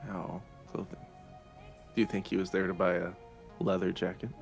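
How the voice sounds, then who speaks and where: A man co-hosting a morning show, dropping deadpan one-liners in casual banter. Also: deep voice, normal speed, soft background music. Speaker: co-host.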